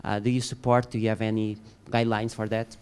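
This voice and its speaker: A man's voice: on the low side, little pitch movement, quick pace, a little mellow, smooth. A man from Portugal with a Portuguese accent, asking a technical question from the audience and searching for words.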